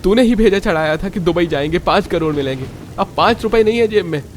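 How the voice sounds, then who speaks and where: A man doing a high-pitched panicky young husband. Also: quick pace, slight background noise, close to an everyday voice. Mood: angry. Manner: high strained pleading voice. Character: weak-willed young husband, panicky and pleading. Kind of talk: Hindi cartoon voice acting.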